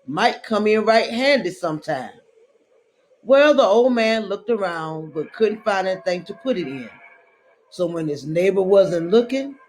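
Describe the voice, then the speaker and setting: A woman reading a children's story aloud in a dramatic, deliberate way, drawing out her phrases. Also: medium pitch, slight background noise, poor audio. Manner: deliberate, expressive, drawn-out phrasing.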